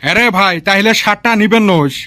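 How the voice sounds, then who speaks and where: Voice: mid-range voice, expressive, fast, sharp tone, no room sound, studio quality. A performer voicing a pushy, haggling cartoon clothes seller with a rural northern Bengali dialect and a persuasive sales patter.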